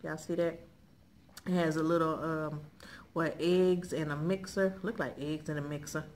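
A middle-aged American woman with a lowish voice chats casually to her viewers. Her manner is folksy and conversational, with frequent little check-ins. There is clear background noise in the indoor recording. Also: fairly even intonation, normal speed, a little mellow. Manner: folksy, conversational, frequent check-in tags like okay and all right. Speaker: haul video host. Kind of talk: casual chat showing purchases to viewers. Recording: clearly noisy room recording.

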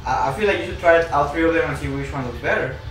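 A man's voice: fairly high pitch, fast, fairly bright tone. A young man in casual, collaborative art discussion, thinking aloud in short fragments. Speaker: manga creator, show host. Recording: echoey room, distant mic, music behind.

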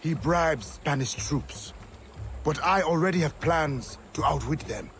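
A man's voice: a little high, measured pace, fairly bright tone, a little hiss or hum. A man playing a stern, bitter older assassin mentor in a video game, scornful and formal in his commands. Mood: brooding, sly. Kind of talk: video game cutscene acting.

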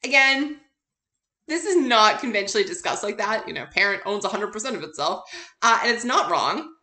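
Bright-voiced woman lecturing in an echoey room. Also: medium pitch, lively intonation, a little fast. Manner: conversational, informal. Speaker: accounting course instructor. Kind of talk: recorded lecture, explanatory with casual asides. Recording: roomy, echoey sound.

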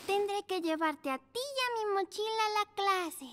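A woman voicing an eager little puppy girl in a dubbed cartoon, squeaky and childlike. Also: expressive, normal speed, fairly bright tone. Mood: worried. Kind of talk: cartoon dubbing. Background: music.

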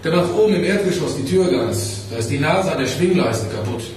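Actor as weary mechanic in a cavernous echo. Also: male voice, a little high, lively intonation, brisk, darkish tone, close to an everyday voice. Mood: matter-of-fact. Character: weary male lift mechanic, matter-of-fact worker. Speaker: radio drama actor. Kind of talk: naturalistic 1980s German radio crime drama acting. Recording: very echoey, distant, some noise.

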